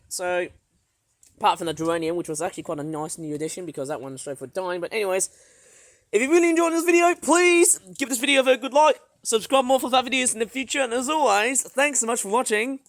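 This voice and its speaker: A vlogger with a hoarse, sore throat, narrating a casual outdoor tour of a backyard garden in a rambling, excitable way, filming on a tablet. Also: mid-range voice, fast talker, dry recording. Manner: rambling, filler-heavy, excitable.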